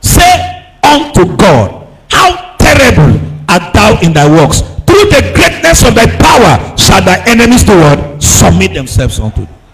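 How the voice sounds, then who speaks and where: High-pitched, animated male preacher. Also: rough recording. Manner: emphatic, repeats phrases, fires rhetorical questions at the congregation; exhorting, breaking into shouts. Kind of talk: charismatic sermon with call and response.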